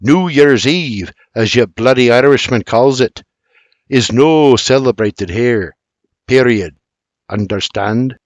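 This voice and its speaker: A man's voice: mid-range voice, slow, no echo, natural voice. A man voicing a stubborn, cheerful old Scottish man in a Scottish accent who lectures with plenty of Scots dialect. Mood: insistent. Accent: Scottish, as played.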